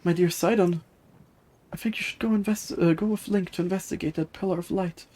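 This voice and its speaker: A streamer voicing a gentle, devoted fish-woman noble from a video game, courtly and earnest in manner. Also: medium pitch, steady tone, a little fast, voice pushed up, close to an everyday voice. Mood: tender, concerned. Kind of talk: reading video game dialogue aloud in character.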